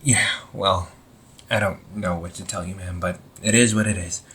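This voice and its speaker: A man playing an anxious, wry young supervillain in a casual audio roleplay, talking informally with exasperated sighs and halting phrases. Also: deep voice, steady tone, fairly bright tone. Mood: wry, resigned. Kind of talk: amateur audio roleplay, casual conversational acting.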